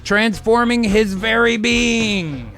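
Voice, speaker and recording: Male host-narrator: high voice, slow theatrical delivery, joking asides, music underneath. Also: a bit bright. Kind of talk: improvised radio drama narration with casual asides.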